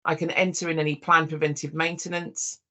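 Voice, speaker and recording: Informal software demonstrator, middle-pitched voice. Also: level tone, brisk. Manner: informal, explanatory, addresses viewers directly. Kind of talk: live software demo walkthrough.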